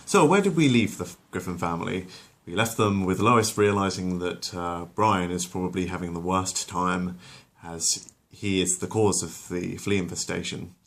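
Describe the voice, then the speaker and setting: A man's voice: low voice, normal speed, hardly any pauses, a bit bright. A man narrating a story as its host, dramatic in the telling and casual in his asides.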